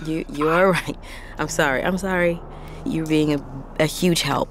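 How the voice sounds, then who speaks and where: A woman acts out a worn-out truck driver who worries about her young kids. Her voice sits low for a woman, and she stammers and hesitates through natural, intimate radio-drama dialogue. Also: fairly even intonation, slight background noise, lightly acted. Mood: tender, apologetic. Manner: halting, stammering. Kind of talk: naturalistic immersive audio drama acting.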